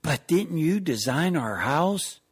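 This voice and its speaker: A man's voice: on the high side, very animated, slow, husky, heavily acted. A man playing an inquisitive, doubtful young son who questions and whines in a comedy radio sketch. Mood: puzzled, protesting. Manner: questioning, whiny protest.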